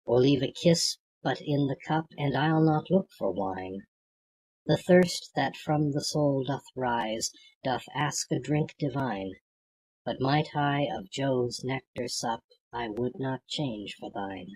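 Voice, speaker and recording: An audiobook reader reciting poetry from a script in a measured, declamatory way, with a husky, gravelly voice. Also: medium pitch, a little mellow, rough recording.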